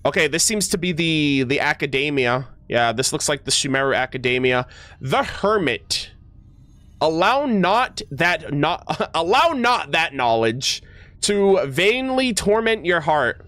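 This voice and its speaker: A man streams a game with enthusiastic, slangy commentary. His voice sits a little high and he takes his time, moving between casual reactions and reading text aloud, stumbling here and there. The sound is dry, with very little room to it. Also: sing-song, measured pace, fairly bright tone, no room sound. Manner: enthusiastic, slangy, often stumbles over words.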